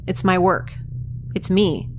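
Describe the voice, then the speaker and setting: A woman's voice: on the low side, slow pace, a little hiss or hum. A woman playing a captive young scientist in a science fiction audio drama, wry, plain-spoken and sarcastic as she bargains. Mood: defiant, sarcastic.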